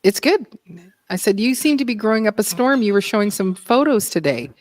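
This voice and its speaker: A woman's voice: medium pitch, expressive, fairly quick, dry recording. Chatty woman livestream host, dry sound. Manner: chatty, laughs often, many fillers and restarts. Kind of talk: casual livestream chat.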